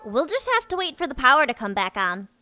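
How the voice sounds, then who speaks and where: Woman playing an earnest, high, bright-voiced mole worker. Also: sing-song, fast talker, slight background noise, full character voice. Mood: guarded. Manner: earnest, insistent, defensive. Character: earnest, gullible, indignant young female moleperson worker. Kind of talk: audio drama acting.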